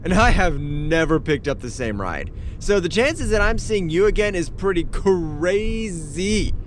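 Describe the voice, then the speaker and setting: A man playing a chatty, nosy, animated rideshare driver in a comic audio drama. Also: high voice, unhurried. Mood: suspicious, chatty. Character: chatty, nosy rideshare driver who loves horror films. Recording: faint vehicle noise.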